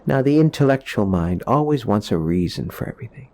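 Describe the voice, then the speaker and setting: A man leading a guided meditation in a soothing, gentle, explanatory way, leaving pauses between phrases. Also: mid-range voice, animated.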